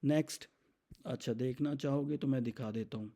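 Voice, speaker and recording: An Indian man explaining software in an unscripted walkthrough, mixing English terms into his talk. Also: medium pitch, moderate pace, gravelly. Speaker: software demonstrator for his company. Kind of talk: unscripted product demo walkthrough.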